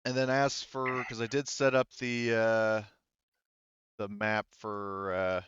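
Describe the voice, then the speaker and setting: Male game master, casual and halting, deep clean tone on dry, slightly muffled audio. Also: little pitch movement, fairly bright tone, clean tone, dry recording. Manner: casual, profane. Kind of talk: narrating a tabletop roleplaying game.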